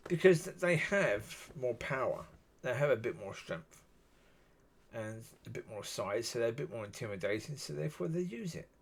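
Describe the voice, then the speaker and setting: A man with a husky voice, talking alone in a rambling, musing way and often saying "yeah". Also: medium pitch. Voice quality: husky, gravelly. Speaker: solo video talker. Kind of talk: rambling solo monologue.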